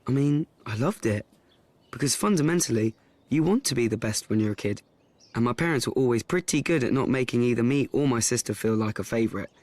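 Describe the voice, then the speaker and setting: An actor playing a young nephew who frankly recalls his troubled family in a halting, self-mocking, confiding radio play monologue. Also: medium pitch, animated, darkish tone, no echo, lightly acted. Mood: frank, wistful. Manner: halting, repeats himself, conversational asides.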